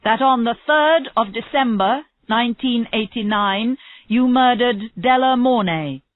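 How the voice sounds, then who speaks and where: A woman's voice: fairly high pitch, pitched above the performer's own voice, natural voice. Woman as a stern, declaiming court official. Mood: stern, formal. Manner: formal, declaiming, measured. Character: stern court official formally reading murder charges. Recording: roomy, slightly dull lo-fi audio.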